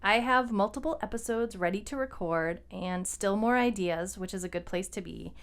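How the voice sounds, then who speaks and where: A woman's voice: mid-range voice, normal speed, clean tone. A woman co-hosting a podcast in a casual, conversational style. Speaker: podcast co-host and fiction writer.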